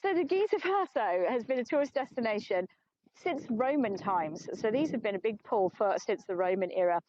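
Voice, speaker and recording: A woman's voice: on the high side, expressive, normal speed, a little mellow. Chatty English woman guide, dull phone sound. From England. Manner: chatty, self-mocking, laughs often. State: tired. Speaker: tour guide. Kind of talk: live-streamed walking tour. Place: outdoors on an open plateau.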